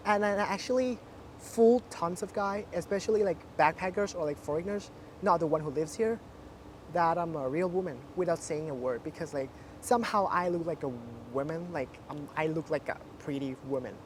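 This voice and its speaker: A woman in her twenties from Thailand, with a deep voice, chatting casually in an interview with many fillers and frequent laughs. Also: fairly quick, a little hiss or hum. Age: 20s.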